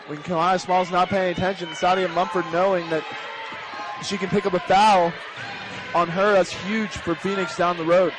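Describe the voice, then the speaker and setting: A man's voice: high voice, flat delivery, normal speed, over music. A man giving emphatic, explanatory color commentary on a live basketball game. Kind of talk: live sports analysis. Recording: crowd noise in a gym. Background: crowd and bouncing basketballs.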